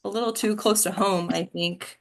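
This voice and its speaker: A woman's voice: mid-range voice, fairly even intonation, normal speed. A woman chatting informally after a play reading, hesitant and often trailing off. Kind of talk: casual post-reading discussion.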